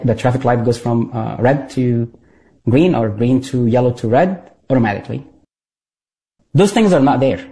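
Halting man, mellow voice. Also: mid-range voice, rough recording. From Egypt. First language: Arabic. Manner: halting, repeats words, many fillers. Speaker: guest, former intelligence operative. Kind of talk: interview storytelling.

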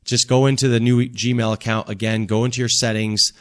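A man's voice: medium pitch, flat delivery, fairly quick, a bit bright. A man hosting a call-in tech radio show, patiently explaining things step by step in a casual way.

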